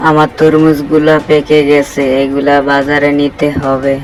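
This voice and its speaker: A man plays a cartoon elephant who farms and hawks his produce. The voice is raised and very monotone, over faint music. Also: a little high, a little slow, voice pushed up, lightly acted. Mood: businesslike.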